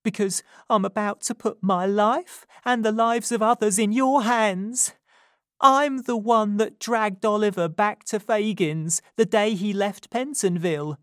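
A woman's voice: mid-range voice, unhurried, no echo, clean studio sound, voice pushed up, close to an everyday voice. A woman voicing a frightened young woman who speaks in an urgent, pressing way in a storybook reading.

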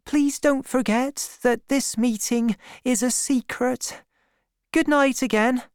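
A woman voicing a shy, secretive young village girl with a soft voice in a storybook reading. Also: high voice, steady tone, slow pace, clear, resonant tone, no echo, clean studio sound, pitched above the performer's own voice. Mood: nervous, secretive.

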